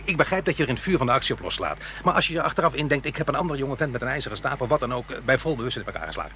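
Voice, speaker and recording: An actor playing a troubled, reproachful divorced father in middle age, halting and earnest, in a Dutch radio play. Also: mid-range voice, big pitch swings, sharp tone, slight background noise. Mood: urgent, probing. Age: middle-aged. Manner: halting, earnest, self-questioning.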